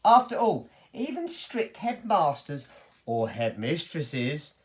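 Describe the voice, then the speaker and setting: Woman storyteller, slightly low voice, hugely varied intonation, playful, theatrical children's reading. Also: very animated, takes it slowly.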